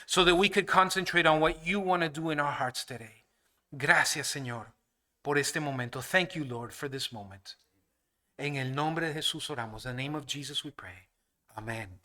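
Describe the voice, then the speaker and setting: Guest preacher, man from Canada, high and bright, earnest bilingual delivery, church sanctuary. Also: a little slow. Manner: alternates each phrase between two languages, earnest. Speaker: guest pastor. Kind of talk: bilingual sermon and prayer.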